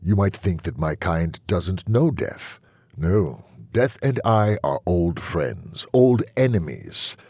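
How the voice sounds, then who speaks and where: Male actor as wistful immortal vampire, very low voice, lively swooping intonation, dryly witty, theatrical audiobook narration. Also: no room sound, full character voice. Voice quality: low, husky. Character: wry, melancholy immortal vampire man, world-weary and wistful. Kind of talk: first-person audiobook narration.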